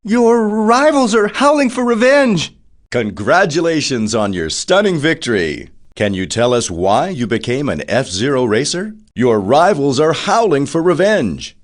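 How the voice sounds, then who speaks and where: A man playing an excitable TV reporter in a video game, putting interview questions to race winners in a showy announcer manner. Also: a little high, measured pace. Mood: excited.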